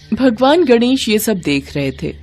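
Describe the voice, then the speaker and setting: A woman's voice: on the high side, big pitch swings, a little slow, darkish tone. A woman narrator reading a scripted devotional moral story.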